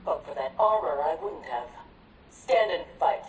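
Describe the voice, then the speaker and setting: Stern low-voiced warrior mentor. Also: female voice, lively intonation, measured pace, big-room sound, noisy background. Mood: taunting, stern. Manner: lecturing, disdainful. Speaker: instructor and commander. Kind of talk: dramatic video game cutscene acting.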